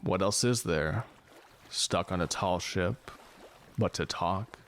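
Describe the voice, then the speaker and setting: An actor playing a gloomy, philosophical man on a ship in an audio drama, with a solemn deadpan delivery. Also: sits low, slow pace, full character voice. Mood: tentatively romantic. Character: gloomy philosophical ship's man, fatalistic and slow to romance.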